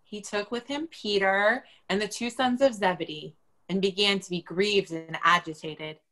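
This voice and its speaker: Bright, forceful woman reading scripture. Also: medium pitch, moderate pace, rough recording. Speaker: church lay or clergy reader.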